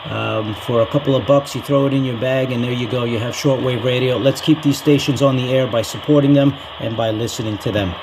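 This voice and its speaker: A man's voice: medium pitch, steady tone, brisk, few pauses, noisy background. An American man hosting a casual product review, talking through it informally as he goes. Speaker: host of a radio review channel.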